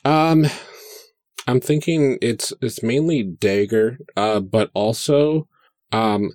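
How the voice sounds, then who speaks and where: A man's voice: mid-range voice, takes it slowly, a little mellow, no echo. A man playing a tabletop game for the first time, talking hesitantly with many fillers as he builds his character. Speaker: player. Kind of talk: casual tabletop chat.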